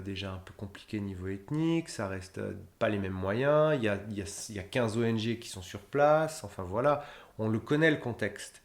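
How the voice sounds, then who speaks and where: Thirties guy rambling about his aid work, lots of euh, echoey room. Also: lowish voice. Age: 30s. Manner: rambling, many fillers and restarts. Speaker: humanitarian logistician. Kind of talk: casual interview answers. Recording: roomy echo.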